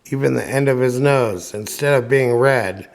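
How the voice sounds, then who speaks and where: A man reading a children's story aloud as narrator, with deliberate dramatic pauses. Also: medium pitch, creaky. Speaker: audiobook reader.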